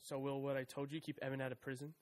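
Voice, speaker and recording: A man's voice: mid-range voice, level tone, quick pace, dry recording, lightly acted. A man acting in an audio drama as a scared, defiant young man on the run, with a nervous, stammering manner. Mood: anxious.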